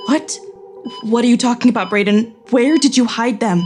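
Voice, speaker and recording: An actress in an audio drama playing a guarded, defiant teenage daughter with a blunt, casual, skeptical way of talking. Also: female voice, a little high, moderate pace, darkish tone, faint music behind, lightly acted. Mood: urgent.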